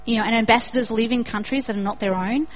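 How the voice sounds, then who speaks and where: A woman's voice: on the high side, steady tone, fast talker. A young woman with an Australian accent preaching a sermon in an earnest way, building to emphatic repetition.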